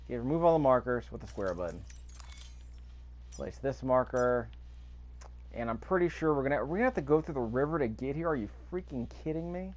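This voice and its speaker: A man's voice: medium pitch, expressive, fairly quick, a little mellow. A man giving casual gameplay commentary, thinking aloud with mild grumbling and rhetorical questions. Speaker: video game player narrating a playthrough.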